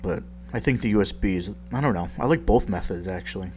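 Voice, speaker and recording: Deep-voiced groggy man explaining casually. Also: expressive, fast, darkish tone, a little hiss or hum. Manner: rambling, self-deprecating, lots of ums. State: just woke up, deep morning voice. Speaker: tech tutorial youtuber. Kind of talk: casual screen-recorded tutorial voice-over.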